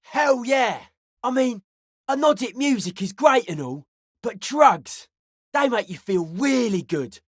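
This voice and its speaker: A man's voice: extremely high pitch, lively intonation, slow pace, no room sound, studio quality, full character voice. A man playing an intense young hardcore raver in a video game, with a slangy, preachy, grandiose way of talking. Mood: cocky, persuasive. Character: intense young male hardcore raver, drug-loving, anti-authority philosopher. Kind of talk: video game character dialogue.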